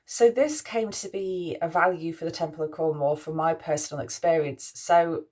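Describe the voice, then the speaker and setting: A young woman with a Mancunian accent, a priestess talking softly through her spiritual values with frequent restarts. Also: sits low, fairly even intonation, normal speed. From Manchester, England. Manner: musing, soft-spoken, with frequent restarts. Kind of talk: spoken reflection on spiritual values.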